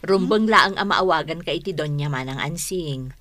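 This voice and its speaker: An actress with an Ilocano accent playing a deferential, chatty household companion in a radio comedy drama. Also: female voice, low-pitched, normal speed, a bit bright, no room sound. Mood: admiring, amused.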